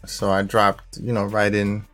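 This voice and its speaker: A man's voice: on the low side, completely flat delivery, a little slow, a bit bright, faint music behind. Easygoing Guyana-born man hosting a talk show. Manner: easygoing, frequent "you know what I'm saying". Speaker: podcast host. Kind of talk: casual conversational interview.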